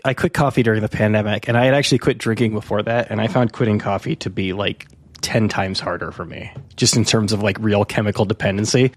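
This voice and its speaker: A man's voice: lowish voice, steady tone, fast talker, no room sound. Podcast host man, dry close sound. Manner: conversational, shares own experiences. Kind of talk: casual interview conversation.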